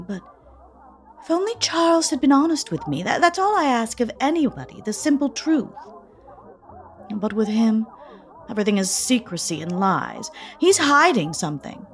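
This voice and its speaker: A woman's voice: on the high side, slight background noise. A woman playing a wry, theatrical, unhappily married American in an audio drama.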